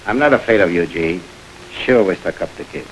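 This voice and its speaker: An actor playing a defiant gangster henchman in a 1930s crime film, gruff and insolent. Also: low-pitched, lively intonation, a little fast, slight background noise, poor audio.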